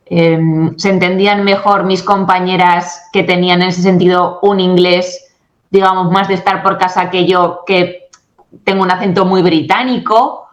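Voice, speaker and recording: A woman in her forties from Spain, a guest coach in a radio interview, talking in an animated way and acting out quoted exchanges. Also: lowish voice. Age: 40s. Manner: animated, acts out quoted dialogue. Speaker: guest, English communication coach.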